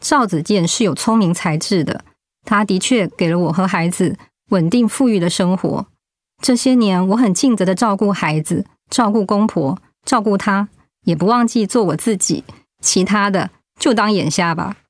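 A middle-aged wife and mother, played by a woman in a radio drama, proud and stubborn. She talks bluntly with a sardonic edge at a medium pitch. The sound is close and dry. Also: normal speed, no room sound, lightly acted. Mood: bitter, resigned.

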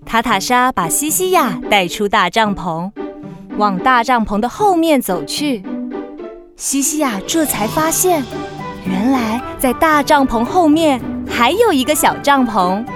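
Higher-voiced woman narrating a children's story. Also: animated, unhurried, over music.

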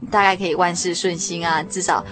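A woman's voice: on the low side, level tone, fairly quick. A woman with a Taiwanese Mandarin accent hosts a conversational radio interview, often chiming in with short agreeing interjections. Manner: frequent affirming interjections. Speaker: radio programme host interviewing a guest. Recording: music behind the voice. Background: background music.

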